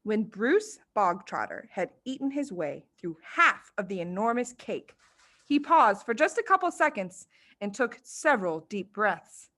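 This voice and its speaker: A woman reading a children's story aloud as expressive scripted narration. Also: mid-range voice, a little slow. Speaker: storybook reader.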